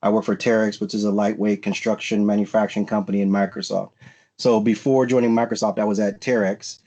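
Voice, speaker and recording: Low-voiced man giving career advice. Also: flat delivery, fast talker, a little mellow. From United States. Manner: frequent fillers and restarts, earnest advice-giving. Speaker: panelist at a recruiting event. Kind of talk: virtual panel talk.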